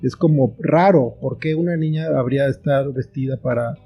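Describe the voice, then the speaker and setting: A man's voice: mid-range voice, normal speed, gravelly, slightly rough, dull audio, faint music behind. A man talking as an interview guest, explaining his thoughts reflectively in short phrases with examples. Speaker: guest, children's book author and screenwriter.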